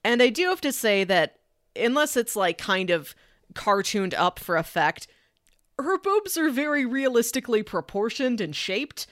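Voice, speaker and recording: A woman's voice: on the high side, big pitch swings, a little slow, fairly bright tone, dry recording, studio quality. A woman in a casual podcast review chat, tossing in asides and imagined quotes. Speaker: podcast host reviewing anime.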